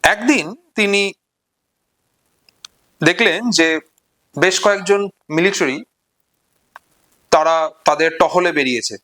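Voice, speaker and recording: Male literature presenter, Bengali accent, high voice, measured storytelling and commentary with emphatic repetition. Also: sharp tone.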